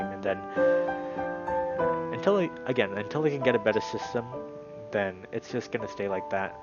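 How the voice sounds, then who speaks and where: Low-voiced man reading and commenting on stream. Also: muffled recording. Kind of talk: read-aloud narration with casual stream commentary.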